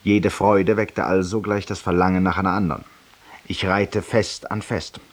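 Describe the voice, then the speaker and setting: A self-satisfied middle-aged man confides in a silent listener in a radio drama. His voice is very low and husky. He speaks in polished, theatrical phrases with an urbane, ironic manner. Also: flat delivery, normal speed. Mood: wistful, subdued. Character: self-satisfied, confessional middle-aged male barroom raconteur.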